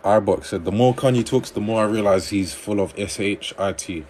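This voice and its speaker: A man hosting a livestream on dating advice, reading viewer comments aloud and answering them in a relaxed conversational way. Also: fairly low pitch, brisk, a little hiss or hum.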